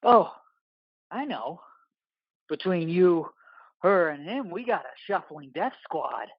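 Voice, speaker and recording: A man's voice: fairly high pitch, lively intonation, slow, breathy edge, dry recording, highs cut off, pitched above the performer's own voice, heavily acted. A man voicing a sneering, wounded, menacing gang biker in an audio drama. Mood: sneering menace.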